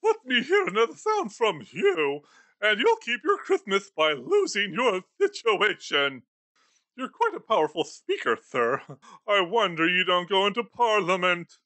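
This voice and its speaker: A man's voice: high-pitched, wildly swooping pitch, measured pace, bright, forceful tone, no room sound, heavily acted. A man voicing a bitter, miserly old male moneylender in a storybook reading, scornful and sarcastic.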